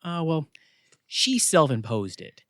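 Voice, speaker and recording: An actor playing a breezy, wisecracking attendant who checks souls into the afterlife in an audio theatre comedy, chatty and flippant. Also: male voice, fairly high pitch, big pitch swings, slow pace, a bit bright, no echo, clean studio sound, heavily acted. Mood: casual, dry.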